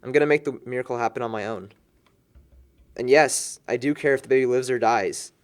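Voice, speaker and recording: A man plays a young father-to-be at a party. He speaks bluntly and casually at an ordinary male pitch, in the style of film dialogue. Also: fairly quick, natural voice. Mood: defiant.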